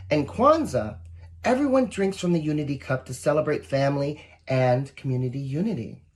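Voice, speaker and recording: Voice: mid-range voice, a little mellow. A volunteer reading a children's picture book aloud expressively, with asides that speak straight to young listeners. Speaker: volunteer storybook reader.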